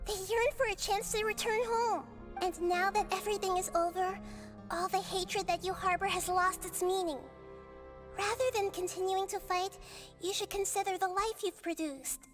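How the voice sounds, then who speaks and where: A woman voicing a calm, wise young girl goddess in a video game, speaking softly and earnestly. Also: extremely high pitch, soft background music. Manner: soft, measured, earnest.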